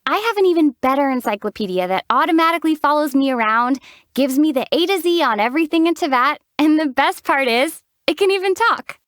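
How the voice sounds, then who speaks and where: Game lead: young female traveler, calm and deadpan teasing, high lively voice, dry booth. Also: brisk, no room sound. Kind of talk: studio game voice acting. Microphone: studio mic.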